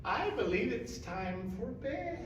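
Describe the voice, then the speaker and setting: A man voicing a mysterious old woman, speaking her lines slowly in a raised voice. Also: extremely high pitch, sing-song, background noise, voice pushed up, heavily acted. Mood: calm, knowing. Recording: echoey room, mic far off.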